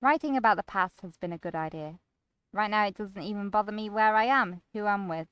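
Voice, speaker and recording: A woman playing a gloomy, withdrawn man in a visual novel, with a flat, reluctant, grumbling manner. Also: mid-range voice, fairly quick, clean tone, no room sound, muffled recording, faint music behind, natural voice. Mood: wistful. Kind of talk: first-person visual novel acting.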